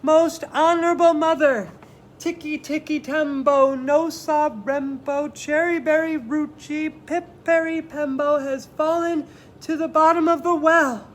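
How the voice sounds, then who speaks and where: A man's voice: extremely high pitch, steady tone, a little slow, a little hiss or hum, pitched above the performer's own voice, rough recording. A man voicing a small, frantic boy pleading urgently in a storybook reading, calling out breathlessly. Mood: slow, loud. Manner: shouting long chant-like names breathlessly.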